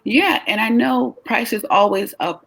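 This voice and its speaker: A woman who is tired and short on sleep, raspy-voiced, chatting casually and lively as a travel business host. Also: a little high, a little slow, dry recording. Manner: lively, talks with her hands in her voice, leaning on questions to the listener. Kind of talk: casual chat.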